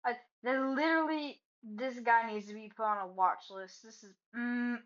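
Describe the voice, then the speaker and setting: A woman's voice: fairly high pitch, normal speed, a bit bright, lo-fi sound. A young woman with a blunt, joking, sarcastic manner, giving casual commentary over her own game video. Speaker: gaming video creator.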